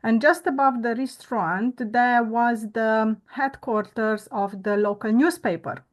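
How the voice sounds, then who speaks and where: Earnest woman. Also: a little high. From Romania. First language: Romanian. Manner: earnest, reflective, with frequent "uh" and "um" pauses. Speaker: guest. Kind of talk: conversational interview storytelling.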